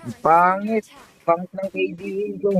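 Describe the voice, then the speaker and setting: A Filipino gamer talks casually through a match in a middling-pitched voice. The speech is loose and grumbly, with English words dropped in, over faint background music. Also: steady tone, moderate pace. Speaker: fellow game player. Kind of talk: casual gaming chat.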